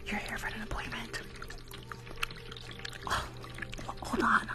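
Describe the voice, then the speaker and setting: A woman's voice: on the high side, little pitch movement, slow, over music. A young woman playing a rude, judgmental spa receptionist in an ASMR roleplay, snarky and condescending, close to the microphone. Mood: dismissive, snide. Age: young adult. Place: small room at home, indoors. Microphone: close furry-windscreened condenser microphone.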